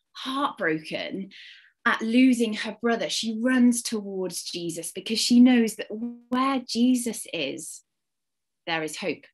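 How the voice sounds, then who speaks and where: A woman from Wales with a slight Welsh accent, giving an earnest, expressive Easter talk to a church congregation. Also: medium pitch. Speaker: church speaker.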